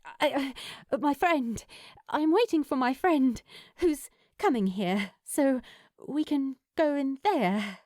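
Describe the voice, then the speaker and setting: Woman voicing a flustered, higher-pitched shy woman. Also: animated, slow, a little mellow, dry recording, studio quality. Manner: hesitant, stumbling, apologetic. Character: shy, flustered, awkward woman in her forties.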